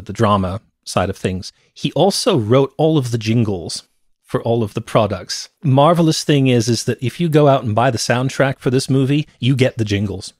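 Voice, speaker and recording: A man's voice: mid-range voice, a little mellow, no echo. A middle-aged man co-hosting a movie podcast, chatting casually about films into a close microphone. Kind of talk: casual film review chat. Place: cluttered room at home. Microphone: close podcast microphone on a stand.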